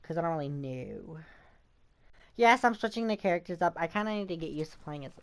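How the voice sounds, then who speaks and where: A woman's voice: low voice, lively intonation, a little fast. A woman streamer giving casual gameplay commentary, chatting to herself and to the characters. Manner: talks to herself and to game characters. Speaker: game streamer. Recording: loud game music behind the voice. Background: game music.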